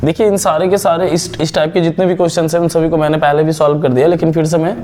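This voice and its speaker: A young man from India teaching a step-by-step math lesson, explaining slowly and asking and answering his own questions. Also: a little high, level tone, mellow.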